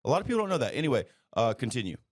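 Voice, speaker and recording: A man's voice: medium pitch, sing-song, rapid-fire. Casual male podcast host, dry close sound. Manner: informal, interjecting, echoes back what he hears. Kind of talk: casual interview talk.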